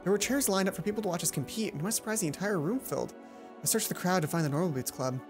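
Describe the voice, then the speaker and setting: A woman with a fairly low voice reads a visual novel aloud for a let's play. She tells it expressively and drops into self-questioning asides. Prominent music plays behind her. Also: lively intonation, racing. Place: indoor gaming commentary recording with loud game music behind.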